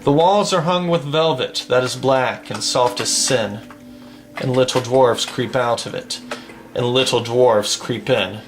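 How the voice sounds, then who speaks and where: Slow, declaiming man reciting poetry. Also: mid-range voice, faint music behind. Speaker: poetry reader and gamer. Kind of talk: poem recited from memory over gameplay.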